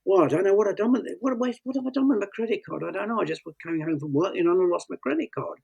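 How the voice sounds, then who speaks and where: A man's voice: sits high, rapid-fire, vocal fry, darkish tone, breathy edge, dull audio, exaggerated voice. Man putting on a flustered little London suburbanite. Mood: flustered, apologetic. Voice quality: slack vowels. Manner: hesitant, repetitive, muddled.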